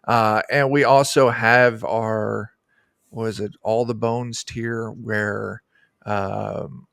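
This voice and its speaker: A man's voice: lowish voice, flat delivery, takes it slowly, creaky, fairly bright tone, dry recording. A middle-aged man chatting informally and enthusiastically as a guest game designer, explaining things in a rambling way. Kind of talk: casual explanatory chat about a tabletop game. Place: indoor room on a video call.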